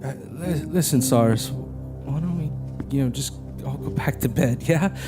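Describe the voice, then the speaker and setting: An actor playing a wary, earnest and protective brother with a temper in an audio horror drama. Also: male voice, mid-range voice, measured pace, lightly acted. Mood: uneasy pleading. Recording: music under the voice.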